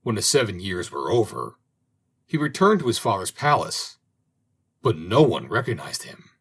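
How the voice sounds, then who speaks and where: A man reading an old fairy tale aloud as an audiobook narrator, in a slow, expressive manner. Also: lowish voice, husky. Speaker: audiobook reader. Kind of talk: storybook narration.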